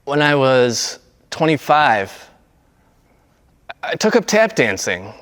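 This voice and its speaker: A man's voice rings in a long reverb. He has a smooth, resonant tone at an average pitch. His delivery is halting and understated, in the natural manner of an amateur actor reading a monologue. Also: big pitch swings, fairly bright tone. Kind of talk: casual intro, then understated monologue reading.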